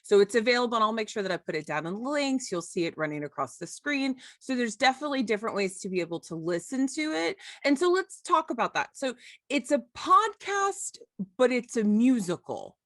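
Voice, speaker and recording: A woman's voice: fairly high pitch, sing-song, a little fast, no echo, studio quality. A woman hosting a podcast in a casual, conversational interview style. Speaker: podcast host.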